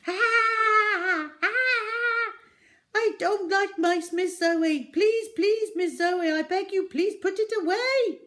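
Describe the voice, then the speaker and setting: Woman doing a shrill panicky male shopkeeper. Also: very animated, unhurried. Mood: panicked. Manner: frantic, pleading, high and loud. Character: kindly, jittery middle-aged male newsagent.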